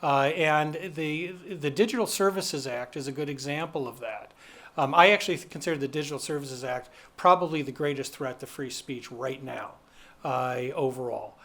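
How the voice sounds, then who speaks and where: Man, medium pitch, animated, self-deprecating asides, panelist. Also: normal speed. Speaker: panelist, free speech commentator. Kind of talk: panel discussion. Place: conference hall stage.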